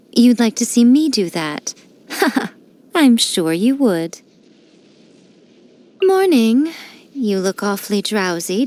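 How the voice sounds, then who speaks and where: An actress voicing a sly, elegant fox-spirit shrine priestess in a game, teasing and playfully condescending. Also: female voice, fairly high pitch, dark tone. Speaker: game character. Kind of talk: studio game voice lines.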